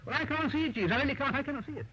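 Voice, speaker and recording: An actor playing an amiable, dithering young upper-class English gentleman, chummy and exclamatory, in a radio comedy drama. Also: very high voice, very fast, highs cut off, a little hiss or hum. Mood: exasperated. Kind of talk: first-person narration and radio comedy acting.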